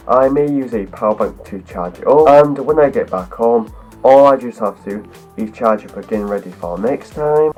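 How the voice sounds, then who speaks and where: A man's voice: medium pitch, darkish tone, highs cut off. Man talking with a cold. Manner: rambling, strings clauses together with "as". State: has a cold, voice deeper than usual. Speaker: video host. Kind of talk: scripted talk to camera.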